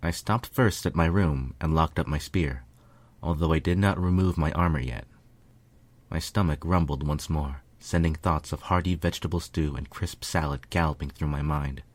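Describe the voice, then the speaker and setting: Deep-voiced man narrating a story. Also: normal speed, no echo. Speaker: fan fiction reader, narrator.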